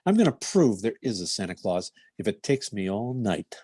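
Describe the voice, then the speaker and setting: Man playing a deadpan, no-nonsense radio detective. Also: lowish voice, normal speed, slightly rough, no echo, clean studio sound. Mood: stern. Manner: deadpan, clipped, matter-of-fact. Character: stoic, no-nonsense male police detective narrating his case. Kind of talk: 1950s radio crime drama parody.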